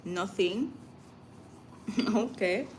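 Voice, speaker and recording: A woman with a Jamaican accent talks casually to viewers as a livestream host. Her voice sits at a middle pitch. She slips informally into Patois, and there is faint noise behind her. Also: very slow, a little mellow. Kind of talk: casual live chat with viewers. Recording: clear background noise.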